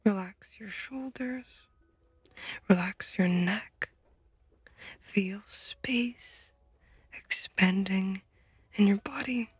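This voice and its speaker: A woman leading a guided meditation in a soft, breathy whisper, speaking gently and soothingly with unhurried pauses. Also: mid-range voice, no room sound, muffled recording.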